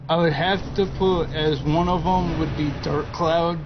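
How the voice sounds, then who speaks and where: A man in his twenties, a guest chatting slowly and hesitantly with frequent ums. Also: fairly high pitch, steady tone. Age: 20s. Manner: slow, hesitant, lots of ums and uhs. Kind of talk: casual chat over gameplay commentary. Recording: dull lo-fi voice-chat audio with faint noise.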